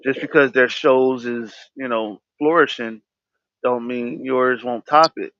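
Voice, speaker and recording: A man's voice: mid-range voice, fairly even intonation, unhurried, fairly bright tone, no room sound. A man hosting his own podcast, speaking candidly about himself with a stutter, restarting his words often. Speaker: podcast host. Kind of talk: candid personal talk. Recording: dull, lo-fi dry sound.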